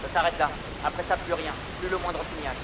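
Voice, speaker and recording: A man playing a brisk military pilot in a French video game, giving clipped, informal reports over loud noise. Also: on the high side, steady tone, natural voice. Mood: concerned, reporting.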